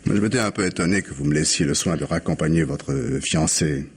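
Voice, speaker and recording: An actor playing a proud, brooding middle-aged husband in a French radio drama, husky, guarded and bitterly ironic. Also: male voice, very deep voice, quick pace, a little hiss or hum. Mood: bitter irony. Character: proud, brooding husband and former tropical forester.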